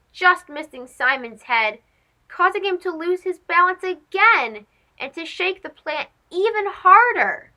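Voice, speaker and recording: A woman narrating a children's story as a read-aloud host, expressive, dramatic and clearly phrased. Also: very high-pitched.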